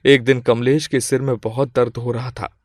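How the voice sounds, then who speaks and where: A man's voice: medium pitch, flat delivery, normal speed, darkish tone, no echo, studio quality. A man reading scripted moral-story narration as the storyteller.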